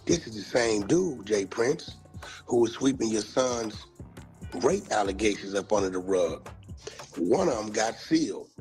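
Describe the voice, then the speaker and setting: A man's voice: on the low side, animated, unhurried, darkish tone, faint music behind. An American man in a slangy, emphatic monologue, calling people out in a confrontational way.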